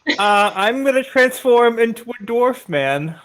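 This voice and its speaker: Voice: medium pitch, expressive, unhurried, fairly bright tone. A player at an online tabletop game, casually describing their character's moves and asking the game master questions.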